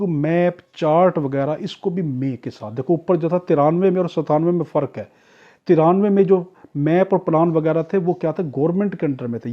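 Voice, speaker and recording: Husky, higher-pitched Pakistani male tutor. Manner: didactic, repetitive, asks and answers own questions. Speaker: law tutor. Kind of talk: explanatory exam lecture mixing in English legal terms. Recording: dull, muffled lo-fi audio.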